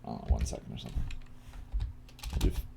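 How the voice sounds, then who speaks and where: A man teaching coding in a live tutorial, thinking aloud in a halting way with pauses mid-thought. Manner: halting, pausing mid-thought while working. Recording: clear background noise, keyboard typing.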